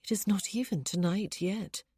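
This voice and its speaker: A woman voicing a small, elfin young bride who speaks softly and earnestly, at times in a whisper. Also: medium pitch, moderate pace, breathy edge, no room sound, voice pushed up, close to an everyday voice. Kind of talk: audiobook character voice.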